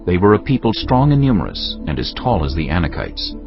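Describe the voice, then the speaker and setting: A man narrating scripture with an expressive, lively delivery. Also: sits low, a little fast, creaky. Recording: dull lo-fi audio with music.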